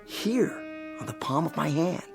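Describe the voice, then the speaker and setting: Space explorer, eager, smug, very expressive intonation, radio play, music behind. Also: male voice, on the high side, a little slow, gravelly. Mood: eager, teasing. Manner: teasing, boastful, eager. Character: cocky male space explorer showing off his secret discovery. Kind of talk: radio drama acting.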